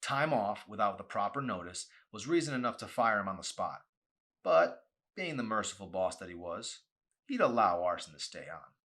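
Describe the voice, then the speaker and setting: A man's voice: fairly low pitch, lively intonation, moderate pace, fairly bright tone. A man reading a novel aloud as a dramatic audiobook, with an intense, theatrical delivery.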